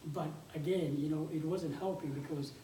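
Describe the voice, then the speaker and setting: A man in his twenties with a South Sudanese accent gives an informal talk in a halting, conversational way, often repeating words. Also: a little high, fairly even intonation, mellow. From South Sudanese, grew up in a refugee camp in Uganda. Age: 20s. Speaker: game developer and startup founder, guest speaker. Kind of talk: informal conference presentation. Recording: echoey room, distant mic, background noise.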